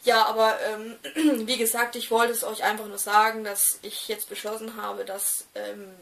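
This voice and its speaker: A woman's voice: fairly high pitch, level tone, moderate pace, vocal fry, a bit bright, distant mic. Hesitant young woman talking to her webcam. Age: 20s. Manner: hesitant, many fillers and restarts. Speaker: doll collector vlogger. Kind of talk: casual talk to camera. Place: small room indoors.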